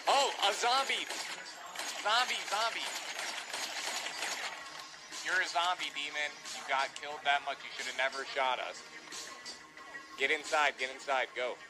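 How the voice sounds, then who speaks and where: A young man playing a roleplay shooter game, giving quick orders and calling everyone dude. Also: a little high, rough recording. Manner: bossy, gives quick orders, says dude a lot. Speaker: gamer streaming roleplay. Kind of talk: casual game chat. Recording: music playing behind.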